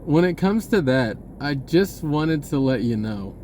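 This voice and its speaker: Halting man talking over background noise. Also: fairly high pitch, big pitch swings, a little mellow. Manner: hesitant, halting, informal, trailing off and rephrasing. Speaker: online video creator. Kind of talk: rambling spontaneous spoken message to camera.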